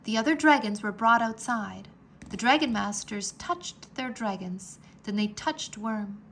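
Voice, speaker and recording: A woman narrating a children's storybook aloud in a deliberate, dramatized way. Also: fairly high pitch, expressive, a bit bright, slight background noise.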